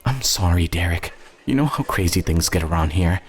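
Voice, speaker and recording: A man's voice: sits low, lively intonation, moderate pace, husky. A man voicing a kindly, affectionate older small-town woman who works at a hospital, in a light, motherly, fussing manner for an audio story. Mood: warm, fussing.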